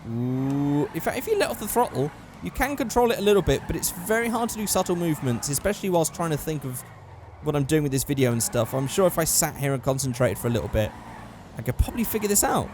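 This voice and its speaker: Gaming host, male, hoarse and fading, lively pitch, slightly high voice, engine noise under him. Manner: excitable, jumps up in pitch at close calls. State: hoarse, losing his voice. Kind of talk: casual gameplay commentary.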